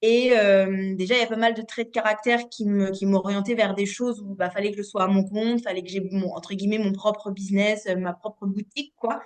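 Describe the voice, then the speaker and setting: A woman in her late twenties from the Paris region, an osteopath telling her story as a podcast guest in a lively, chatty way with many fillers and restarts. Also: medium pitch, brisk, hardly any pauses. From Paris region, France. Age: 20s. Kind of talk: casual podcast interview.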